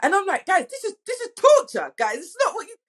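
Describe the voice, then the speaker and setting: A woman's voice: extremely high pitch, big pitch swings, fast. A woman speaking informally and animatedly, telling anecdotes in a casual panel conversation as a director. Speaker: audio drama director.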